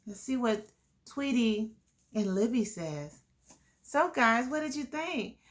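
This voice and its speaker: A woman telling a children's story in an expressive, deliberate way, as host speaking to young listeners. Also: a little high.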